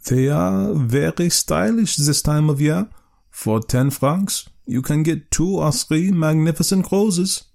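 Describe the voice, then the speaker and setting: A man's voice: a little high, takes it slowly, creaky, a little mellow, pitched above the performer's own voice. A man voicing a meek, eager-to-please clerk husband who coaxes and placates his wife. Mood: placating. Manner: coaxing, placating.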